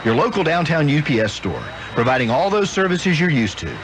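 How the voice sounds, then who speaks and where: A man's voice: a little high, big pitch swings, a little fast, background noise. A man reading a scripted local radio commercial as an announcer, heard on an AM radio aircheck. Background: music bed.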